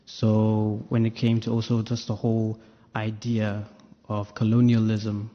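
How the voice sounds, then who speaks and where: Low, flat-voiced man, reflective. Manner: reflective, unhurried, with pauses. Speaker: actor answering press questions. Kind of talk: press conference answer. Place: press conference room. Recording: dull sound with long hall reverb.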